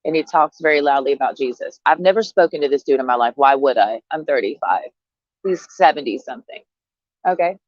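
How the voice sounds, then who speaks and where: A woman's voice: a bass voice, lively intonation, brisk, no room sound, muffled recording. Brash profane woman in her thirties. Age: 30s. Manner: brash, profane, sarcastic, laughing often. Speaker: vlogger. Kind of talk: casual monologue to camera.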